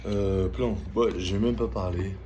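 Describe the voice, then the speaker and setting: Middle-aged male tarot reader, lowish voice, informal and stop-start delivery with repetitions, clear background noise. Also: steady tone. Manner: halting, repeats phrases, often checks in with listener. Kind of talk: casual spoken tarot reading with personal chat. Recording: clearly noisy ambient background.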